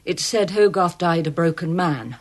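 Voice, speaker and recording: An actress playing an earnest, proper gallery assistant in a period radio drama, speaking precisely with some hesitancy. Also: female voice, on the low side, lively intonation, normal speed. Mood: earnest, explaining. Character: earnest female wartime gallery assistant giving testimony.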